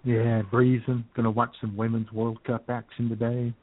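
A man's voice: medium pitch, monotone, moderate pace. Wisecracking male co-host on a phone-like line. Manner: wisecracking, irreverent. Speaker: internet radio co-host. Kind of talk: casual comedic banter. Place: internet radio show. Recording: thin phone-like internet radio sound.

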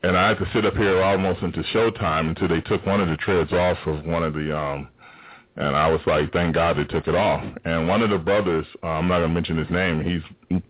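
A man with a very deep voice hosting a radio show over a cell phone, rambling and hesitant with many fillers. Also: steady tone, fairly quick, breathy edge. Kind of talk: casual talk radio. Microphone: cell phone call. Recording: tinny phone line.

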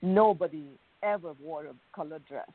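A woman's voice: low-pitched, normal speed, slightly rough, no room sound. A woman from Thailand, a guest on a radio interview, telling her story in a chatty, enthusiastic way. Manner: chatty, enthusiastic, frequent "you know". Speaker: guest, bridal designer. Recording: phone-like narrow band.

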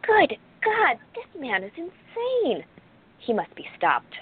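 A woman acts a young lady sleuth in a radio drama, indignant and skeptical. Her voice is fairly high and exclamatory, heard through thin, phone-like audio. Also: wildly swooping pitch, slow pace, full character voice. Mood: alarmed. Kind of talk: radio play acting.

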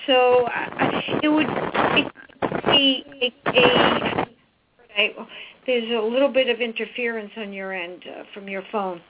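A woman's voice: fairly high pitch, a little slow, narrow, tinny audio, very noisy. A woman host chatting and asking an interview guest questions in a conversational way.

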